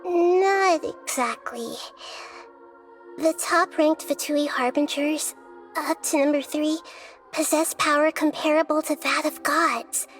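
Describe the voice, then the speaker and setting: A woman voicing a small, childlike but wise goddess in a video game, speaking in a gentle, measured, formal way. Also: high-pitched, faint music behind. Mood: thoughtful, earnest.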